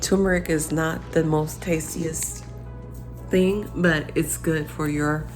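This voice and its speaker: A woman in her thirties with a low, congested voice talks slowly and casually, as if chatting across a kitchen table. She pauses often to think things through. Soft music sits faintly underneath. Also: steady tone. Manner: reflective, thinking out loud with many ums. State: congested, getting over a cold. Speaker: vlogger, parent. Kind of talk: casual talk to camera.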